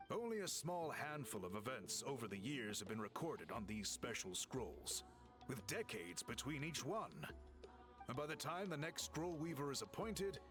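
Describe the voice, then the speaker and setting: Give me a man playing a courteous, lively-voiced scholarly chief. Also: mid-range voice, close to an everyday voice. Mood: earnest, thoughtful. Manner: measured, polite, formal, deliberate. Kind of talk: video game voice acting, measured scripted dialogue.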